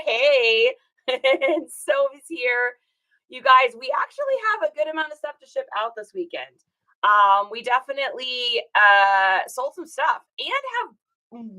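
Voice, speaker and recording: A woman with a slightly hoarse, rough voice, hosting a casual livestream and chatting upbeat with viewers, greeting them by name. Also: fairly high pitch, pushed and bright, low-quality recording. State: hoarse from singing. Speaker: reseller livestream host.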